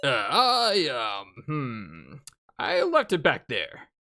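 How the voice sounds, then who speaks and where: Woman doing a low, casual teenage boy. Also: wildly swooping pitch, very slow, bright, forceful tone, slight background noise, full character voice. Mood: uneasy, reassuring. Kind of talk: visual novel character read-aloud.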